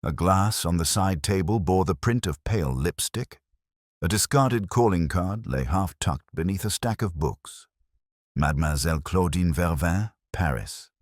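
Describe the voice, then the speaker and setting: A man's voice: low-pitched, lively intonation, measured pace, no room sound, studio quality. A man giving a scripted, literary narration of a detective story as an audiobook narrator.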